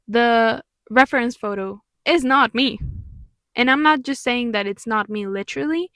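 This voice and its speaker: A woman's voice: on the high side, a bit bright, no room sound, clean studio sound. A young woman from Mexico with a Mexican accent, whose first language is Spanish, giving candid, reflective commentary as a vlogger. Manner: earnest, candid, sometimes exasperated. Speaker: vlogger and pop artist.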